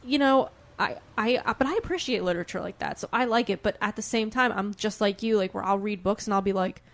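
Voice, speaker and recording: A young woman with a mid-pitched voice chats casually as a podcast co-host. She is emphatic and giggly, bantering as if among friends. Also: fast talker. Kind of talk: casual book-chat banter.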